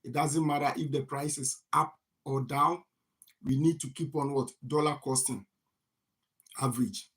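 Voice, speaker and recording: A man's voice: medium pitch, steady tone, unhurried, pauses often, a bit bright. A man from Ghana who hosts a farming channel. He gives a motivational talk on finance, repeats phrases, and asks rhetorical "what?" questions that he then answers himself.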